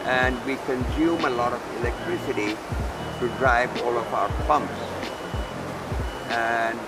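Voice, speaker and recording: A man speaks at a middle pitch with loud music running beneath him. He sounds like an executive in an interview, setting out points one by one in an earnest, deliberate way. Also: steady tone, rough recording. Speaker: company executive being interviewed. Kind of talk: interview, explaining at length. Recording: music laid under the voice. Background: background music.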